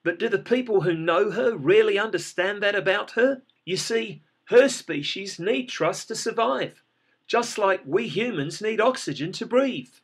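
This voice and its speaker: A man's voice: high voice, expressive, measured pace, slightly rough, voice pushed up, full character voice. A man voicing an urgent male paramedic who explains things firmly and with authority.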